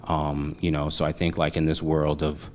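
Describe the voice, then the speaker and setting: A man's voice: very deep voice, moderate pace, a little hiss or hum. A man, chatting casually as an interview guest, loose and slangy with lots of fillers. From Brooklyn, New York. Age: 40s. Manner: relaxed, slangy, many fillers.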